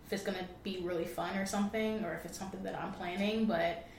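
A woman's voice: mid-range voice, fairly even intonation, quick pace. A young woman co-hosting a casual podcast, chatty, laughing often and filling her talk with "like". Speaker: podcast co-host, real estate agent. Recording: echoey room with faint noise.

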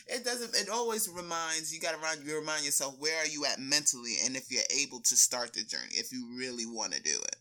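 A woman's voice: low-pitched, quick pace, hardly any pauses. A woman talking casually as a fitness coach being interviewed, slangy and emphatic, telling stories and acting out quoted remarks. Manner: expressive storytelling, acts out quoted remarks. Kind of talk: animated casual interview talk.

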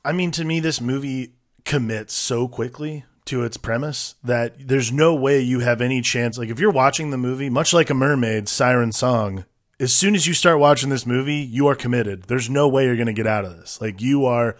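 Male, mid pitch, podcast co-host, rambling, enthusiastic. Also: normal speed. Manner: enthusiastic, rambling, laughs often. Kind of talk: casual film podcast chat.

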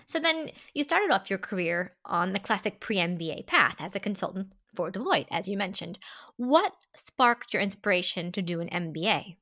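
Lively, enthusiastic woman podcast host. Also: medium pitch, normal speed. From New York. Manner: enthusiastic and upbeat, saying brilliant often. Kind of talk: conversational interviewing.

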